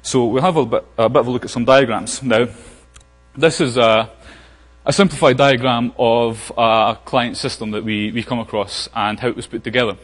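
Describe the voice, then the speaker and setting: A man's voice: medium pitch, fairly even intonation, normal speed. A man with a Scottish accent giving a casual technical conference talk, full of fillers and restarts. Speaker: conference speaker. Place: large conference hall. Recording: long hall reverb.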